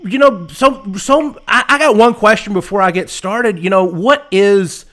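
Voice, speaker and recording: A man's voice: high voice, measured pace, fairly bright tone. Eager man in his forties hosting. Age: 40s. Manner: eager, stumbling questions with restarts. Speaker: host, memory trainer. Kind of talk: casual interview.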